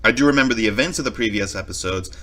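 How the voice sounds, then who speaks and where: A man gives casual commentary as a reaction video host. His voice is fairly low but bright and forward, and he explains things in wordy, measured phrases.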